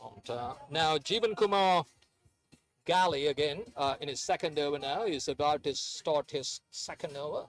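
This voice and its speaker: A man's voice: a little high, normal speed. A man who grew up in Sri Lanka, giving hesitant, chatty play-by-play of a live cricket match with many fillers. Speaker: cricket commentator.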